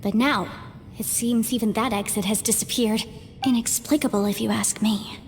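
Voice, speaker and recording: Woman as a high-voiced, articulate young lady. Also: steady tone, a little hiss or hum, natural voice. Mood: skeptical, analytical. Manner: formal, measured wording. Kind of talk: video game cutscene acting. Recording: long reverb, faint music behind.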